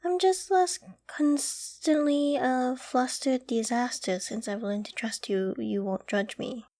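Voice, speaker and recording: An affectionate girlfriend acted out by a woman in an ASMR roleplay. Her voice sits on the high side. She is caring and coaxing, with gentle teasing and a playfully flustered edge. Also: slow pace. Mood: fond, flustered.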